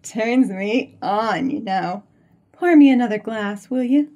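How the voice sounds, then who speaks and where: Audio drama: seductive mistress played by a woman, soft mellow high voice, unhurried. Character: sultry mistress pressing her secret lover.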